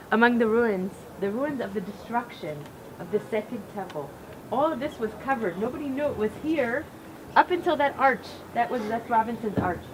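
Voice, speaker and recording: American woman rabbi leading an outdoor tour; earnest, explanatory, slightly high-pitched, noisy open-air sound. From Cleveland, Ohio. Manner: earnest, explanatory, with fillers and pauses. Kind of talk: guided tour talk. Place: outdoors at an archaeological site.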